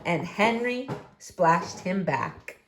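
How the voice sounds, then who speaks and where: A woman reading a storybook aloud to young children in an expressive, emphatic, deliberate way. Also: fairly low pitch. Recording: roomy echo, faint background noise.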